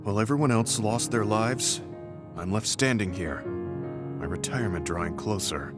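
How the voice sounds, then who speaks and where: A kind, weary veteran soldier performed by a male actor for a video game. His voice sits on the low side and he speaks in measured, reflective phrases that carry long guilt. Prominent music plays behind him. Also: close to an everyday voice. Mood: sorrowful, guilty. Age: middle-aged. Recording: studio voice under loud game music.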